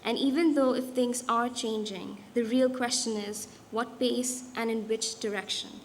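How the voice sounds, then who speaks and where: A young woman from India with an Indian accent, giving a prepared talk as a guest speaker in a measured, deliberate way. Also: a little high, steady tone, a little hiss or hum. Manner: measured, deliberate delivery with occasional restarts. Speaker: guest speaker, designer and artist.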